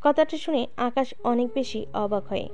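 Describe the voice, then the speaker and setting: A woman's voice: a little high, a little fast, a little mellow. A woman narrating a drama story in a plain, matter-of-fact way.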